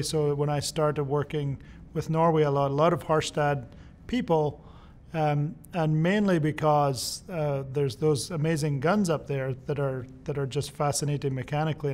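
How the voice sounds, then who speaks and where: A man hosts a relaxed interview, asking questions with friendly curiosity. His voice sits a little higher than most men's. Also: a little hiss or hum. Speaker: host. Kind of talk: casual interview chat.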